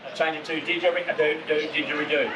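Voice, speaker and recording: A man's voice: on the high side, flat delivery, fast, over loud music. A man teaching didgeridoo to a live audience, playfully drilling sounds over and over. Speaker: didgeridoo instructor. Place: tourist show venue. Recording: roomy, distant from mic, noisy.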